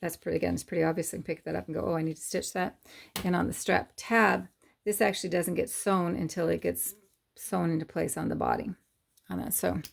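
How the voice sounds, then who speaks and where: A middle-aged woman, hoarse from losing her voice, hosts a casual sewing tutorial and explains her steps in a rambling, hesitant way with many fillers. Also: lowish voice, fairly even intonation, fairly quick. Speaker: sewing channel host.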